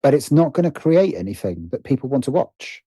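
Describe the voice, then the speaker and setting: A man's voice: mid-range voice, very animated, fairly quick, warm tone, slightly rough, no echo, clean studio sound. A middle-aged British man speaking reflectively as an interview guest, often stopping to rephrase himself. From Britain. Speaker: guest, writer and producer.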